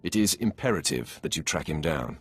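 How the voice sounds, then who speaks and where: An actor playing a stern, commanding dark lord in a video game, with a terse, cold, imperious manner. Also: male voice, extremely low pitch, very animated, a little fast, slightly rough, exaggerated voice. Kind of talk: video game character acting.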